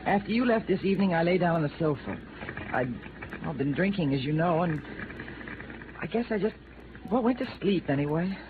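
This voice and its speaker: A woman playing a scared, defensive, sharp-tempered widow in dramatic 1950s radio style. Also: fairly low pitch, animated, a little fast, long pauses, lightly acted. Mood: uneasy, subdued. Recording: tinny noisy vintage radio recording.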